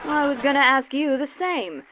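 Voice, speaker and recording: A woman's voice: high-pitched, expressive, moderate pace, bright, forceful tone, rough recording. Woman playing a haughty, scornful sister-in-law. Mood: mocking. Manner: scornful, mocking. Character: haughty, cutting sister-in-law trading insults. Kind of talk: domestic comedy radio play. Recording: faint background noise.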